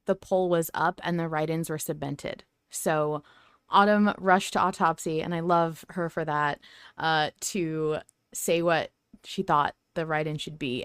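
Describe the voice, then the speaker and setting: A woman hosting a fan podcast, chatting in an expressive, emphatic way. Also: sits low, smooth, no echo, clean studio sound. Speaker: podcast host. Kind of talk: enthusiastic fan podcast chat.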